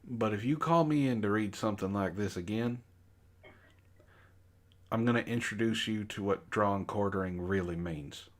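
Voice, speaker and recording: A man's voice: lowish voice, normal speed. A man reading bad fan fiction in mock-dramatic style, with exasperated, sarcastic asides. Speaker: reader on a comedy book club show.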